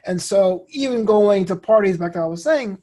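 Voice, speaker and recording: A man's voice: high voice, fairly quick, dark tone. A man, talking casually as an interview guest and acting out quoted remarks as he tells stories. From New York City, United States. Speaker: guest, comedian and show host.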